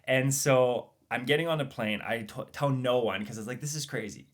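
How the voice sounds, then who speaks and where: Man hosting a conversational podcast, mid-pitched voice. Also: moderate pace. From Romania. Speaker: podcast host.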